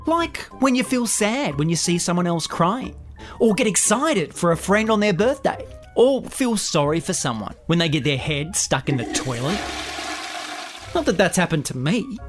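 A woman playing a silly, cheeky, excitable children's show host, chatty and theatrical in a scripted podcast. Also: on the low side, normal speed, over music. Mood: playful, explaining. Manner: exclamatory, theatrical, chatty to young listeners.

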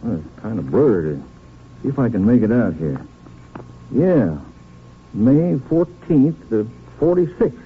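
An actor playing a blunt, suspicious small-town Texas sheriff with a Southern American accent, in a 1950s radio western. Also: male voice, medium pitch, very animated, measured pace, long pauses. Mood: curious, musing. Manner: folksy, blunt. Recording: noisy, tinny 1950s radio recording.

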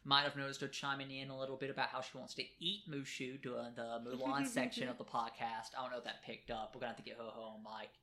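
Man, podcast co-host, bright voice, rambling, joking, home kitchen table recording. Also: mid-range voice, flat delivery, quick pace, few pauses. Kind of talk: casual chat. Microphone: home podcast mic.

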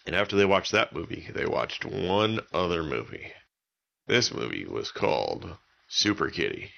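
A man's voice: sits low, animated, measured pace, vocal fry, fairly bright tone, highs cut off. A man telling an improvised bedtime story for children, using simple, repetitive wording. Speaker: children's story podcast narrator.

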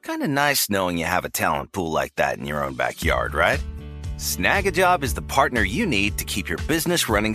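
Punchy bright-voiced male announcer. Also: lowish voice. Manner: punchy, playful. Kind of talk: upbeat commercial.

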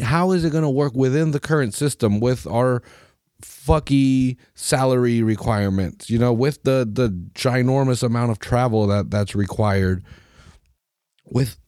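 A man from Mexico, hosting a podcast in a profane, animated rant full of mocking voices. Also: medium pitch, measured pace, dry recording, clean studio sound. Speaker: podcast host. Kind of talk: casual opinionated rant.

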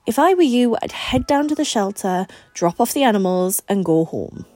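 A woman's voice: a little high, expressive, moderate pace, a little mellow, no room sound, voice pushed up, close to an everyday voice. A woman voicing a blunt, teasing, bossy female co-worker in an audiobook story, speaking frankly and emphatically. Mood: coaxing.

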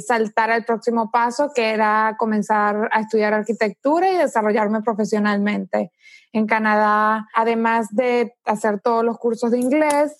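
A woman in her thirties from Venezuela, with a Venezuelan Spanish accent, telling her story as an interview guest and pausing with "eh" and "¿no?" tags. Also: on the high side, dry recording. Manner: thoughtful storyteller, frequent "¿no?" tags and "eh" pauses. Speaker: tech startup founder, guest. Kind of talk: conversational interview answers.

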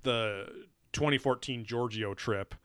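A man with a bright, forceful and smooth voice talks through a show in loose, profane podcast chat. He rambles with plenty of fillers and restarts. The sound is dry and close. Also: mid-range voice, slow, dry recording. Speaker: podcast co-host. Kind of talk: casual chat reviewing a TV show.

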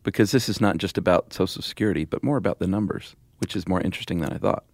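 Low-voiced American man, casual podcast co-host. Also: quick pace, a little mellow, dry recording, studio quality. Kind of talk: casual chat explainer.

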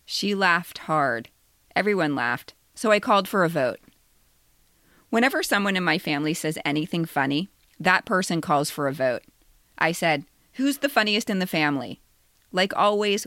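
Low-voiced woman reading a personal essay. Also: lively intonation, moderate pace, fairly bright tone, no echo, studio quality. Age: 50s. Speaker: podcast co-host and essayist.

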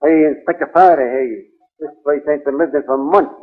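An actor playing a slangy, obliging police subordinate in 1940s radio drama, folksy and eager. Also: male voice, fairly high pitch, steady tone, brisk, close to an everyday voice. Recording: tinny, band-limited 1940s radio recording.

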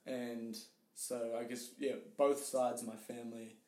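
A man with an Australian accent, a guest telling his story in casual interview chat, relaxed and hesitant with many fillers. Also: mid-range voice, unhurried. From Tasmania, Australia. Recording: roomy echo.

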